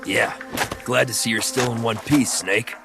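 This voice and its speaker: A man's voice: medium pitch, fairly even intonation, normal speed, vocal fry, loud music behind the voice, lightly acted. A man playing a wry, teasing soldier comrade in a video game, casual and ribbing. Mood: relieved.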